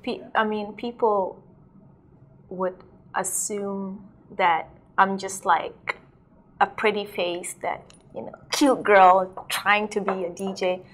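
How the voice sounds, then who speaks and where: A woman's voice: mid-range voice, steady tone, slow pace, fairly bright tone. Chatty young woman from south sudan, dj and model, says like a lot, laughs easy. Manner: chatty, laughs often, lots of "like". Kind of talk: casual interview talk.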